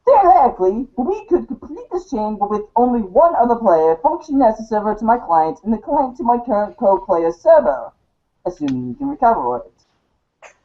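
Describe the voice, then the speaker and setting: A woman voicing a verbose, coolly sardonic teenage girl in a stilted, halting reading of a webcomic script. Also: a little high, big pitch swings. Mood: pompous, musing. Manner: stilted, wordy, halting over long words. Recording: dull lo-fi home recording.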